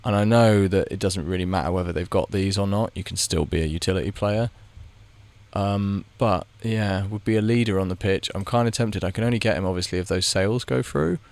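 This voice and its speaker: Man, deep voice, gravelly, casual gaming commentary, thinking aloud. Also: brisk, a little mellow, dry recording. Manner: thinks aloud, muttering reactions to the screen; rambling, with frequent restarts. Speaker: gaming video creator. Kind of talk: casual commentary while playing a game.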